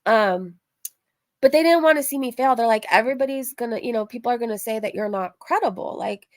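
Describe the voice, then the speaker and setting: A woman's voice: fairly high pitch, brisk, no echo, studio quality. A woman hosting a livestream in a casual, rambling, informal way, repeating phrases for emphasis as she chats. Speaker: livestream host reacting to drama and reading chat.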